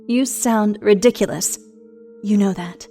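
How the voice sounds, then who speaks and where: A woman's voice: mid-range voice, unhurried, dark tone, natural voice. A woman playing a bitter, sarcastic, century-old shapeshifting monster in an audio horror drama, combative and foul-mouthed. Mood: defensive. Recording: music under the voice.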